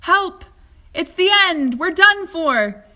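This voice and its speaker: Woman as excitable old grandpa, high and shouting. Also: slow, exaggerated voice. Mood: panicked. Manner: shouting, exclamatory, stammering in alarm.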